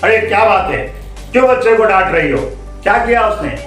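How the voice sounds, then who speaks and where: A man's voice: on the high side, expressive, normal speed, fairly bright tone, roomy sound, far from the mic, soft background music, rough recording. A man voicing an agreeable monkey chief who leads his troop, emphatic and questioning in a children's story. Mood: concerned, questioning.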